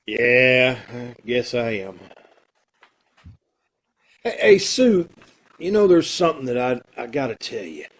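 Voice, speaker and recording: A man's voice: medium pitch, big pitch swings, slow, pauses often, full character voice. A man playing a brooding, self-loathing drifter in an old time radio drama, with blunt, slangy tough-guy talk. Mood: uneasy, regretful.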